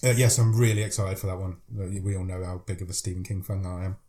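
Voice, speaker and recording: A man's voice: low-pitched, fairly even intonation, fast, dark tone. A man with a cold co-hosting a podcast, chatting casually and laughing often as he runs through news. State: has a cold. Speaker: podcast co-host. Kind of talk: casual chat.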